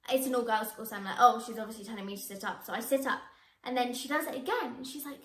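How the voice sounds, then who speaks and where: Bubbly, high-voiced teen actress. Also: female voice, quick pace, fairly bright tone, a little hiss or hum. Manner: bubbly, enthusiastic, with frequent laughs. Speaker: young actress. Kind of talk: answering interview questions to camera. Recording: echoey room.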